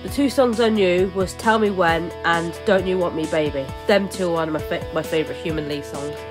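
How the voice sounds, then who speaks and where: Low-voiced young woman vlogger rambling. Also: a little fast, over music. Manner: rambling, many fillers and restarts. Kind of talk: casual voice-over narration.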